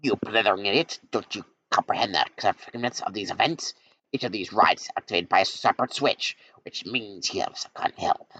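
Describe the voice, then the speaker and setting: A man's voice: fairly low pitch, brisk, fairly bright tone, slight background noise. A man voicing a pompous, scheming male mad-scientist villain, in a dull lo-fi recording. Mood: gloating. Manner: pompous, gloating.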